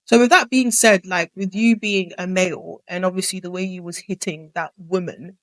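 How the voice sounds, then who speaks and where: A woman's voice: on the low side, steady tone, moderate pace, dry recording. Young woman interviewer, probing and teasing. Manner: probing, teasing, lots of agreeing murmurs. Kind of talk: casual interview chat.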